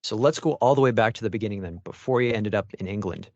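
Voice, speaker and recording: A man hosting an interview podcast in a clear, presenter-like manner. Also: fairly low pitch, quick pace, darkish tone, dry recording, dull audio. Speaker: podcast host. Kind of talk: scripted podcast introduction and interview questions.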